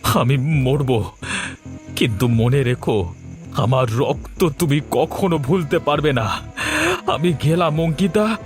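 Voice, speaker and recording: A man's voice: mid-range voice, slow pace, darkish tone, soft background music, natural voice. Man as anguished, betrayed young lover. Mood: despairing. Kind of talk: cartoon melodrama acting.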